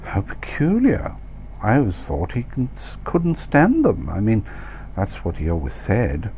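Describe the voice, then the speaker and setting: A man acts out a middle-aged expat wife from a comic storybook, nosy and blasé. The pitch jumps up well above the reader's own as she gossips and hands out condescending, cliché-filled advice. There is some faint noise behind the voice. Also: wildly swooping pitch, measured pace, warm tone, exaggerated voice. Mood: nosy, scandalized. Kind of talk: acted dialogue in comic short story reading.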